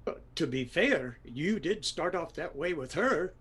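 An actor playing a placating, hapless husband who stammers, in an audio comedy. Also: male voice, a little high, lively intonation, unhurried, fairly bright tone, husky, full character voice. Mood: apologetic. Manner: stammering, appeasing. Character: placating husband, hapless small-time investor. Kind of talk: comedy audio drama acting.

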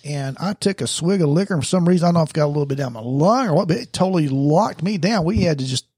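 Nasal, husky man. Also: on the high side, expressive, fast talker, darkish tone, no echo, studio quality. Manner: joking, teasing banter. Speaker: podcast co-host. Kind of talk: casual chat.

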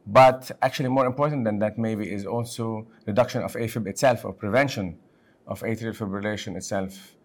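A man from Lebanon with a fairly low voice hosts a medical podcast. He is a physician speaking conversationally, in halting bursts with emphasis. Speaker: podcast host, physician and section head.

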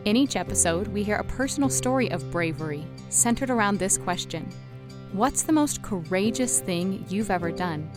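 A woman reading a scripted podcast introduction in a measured, even manner. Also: medium pitch. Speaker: show announcer. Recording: voice over music bed.

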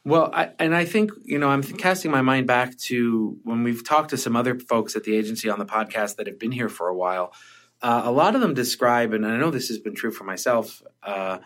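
A man's voice: mid-range voice, fairly quick, a bit bright. A man hosting a casual workplace podcast, talking in long, rambling questions with frequent restarts. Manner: rambling, restarts sentences often. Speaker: podcast host.

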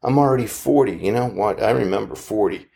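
A man in his fifties giving a slow, earnest, reflective motivational talk with measured pauses. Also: fairly low pitch, vocal fry, warm tone. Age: 50s.

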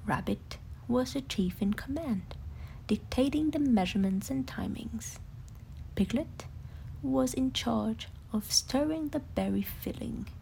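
Woman narrator, bedtime story, unhurried, creaky edges, breathy, fairly high, audible noise. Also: lively intonation, warm tone, rough recording.

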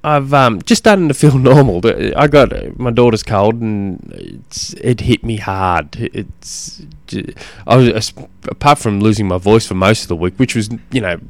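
A man hosting a casual solo podcast, slightly hoarse from a cold, rambling with many ums and restarts. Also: lowish voice, very animated, a little slow, hardly any pauses, no room sound. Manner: rambling, self-deprecating, lots of ums and restarts. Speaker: podcast host and cycling coach.

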